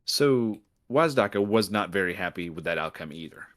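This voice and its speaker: A man's voice: low voice, animated, moderate pace, a bit bright. A man co-hosting a podcast, talking casually and reacting to a story. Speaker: podcast co-host. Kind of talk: casual chat.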